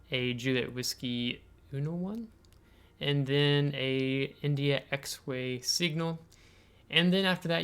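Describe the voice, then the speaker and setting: An American man hosting a video game tutorial, explaining things step by step in a halting way with frequent filler pauses. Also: mid-range voice, a bit bright, clean tone. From United States. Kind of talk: explanatory game tutorial, semi-scripted screen walkthrough.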